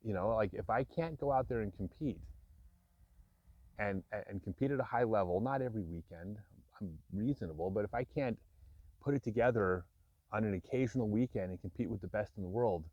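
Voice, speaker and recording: A man in his fifties speaks frankly and reflectively to his viewers, mixing self-deprecating asides with earnest admissions. His voice sits low, close and dry, with a slightly dull, muffled sound. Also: brisk, darkish tone, no room sound. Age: 50s. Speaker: vlogger. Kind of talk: candid personal talk to camera.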